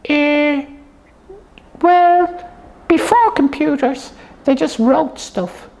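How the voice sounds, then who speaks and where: A woman's voice: high voice, extremely slow, darkish tone. A woman presenting a puppet show in casual comic chat, explaining things in a rambling, hesitant way.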